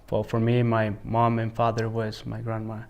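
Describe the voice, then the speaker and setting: A teenage boy from El Salvador with a Salvadoran Spanish accent, a high school student answering interview questions haltingly, filling his speech with "like" and "you know". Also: completely flat delivery, smooth, muffled recording. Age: teens. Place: school, indoors.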